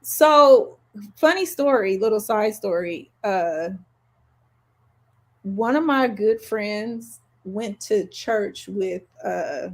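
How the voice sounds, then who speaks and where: A woman co-hosting a podcast, chatting casually and telling personal stories in a playful, expressive way. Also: medium pitch, extremely slow. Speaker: podcast co-host. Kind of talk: casual chat, personal storytelling.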